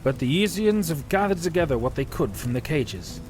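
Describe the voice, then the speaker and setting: A man's voice: a little high, big pitch swings, normal speed, pitched above the performer's own voice. A man voicing a small, earnest young knight commander with courteous, formal manners in a fantasy audiobook. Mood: grateful, polite. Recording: loud music under the voice.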